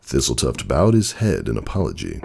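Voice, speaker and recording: Very deep, gravelly male storyteller. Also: normal speed, slight background noise. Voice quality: gravelly, husky. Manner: expressive, literary storytelling. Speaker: narrator. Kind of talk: dramatic scripted story reading.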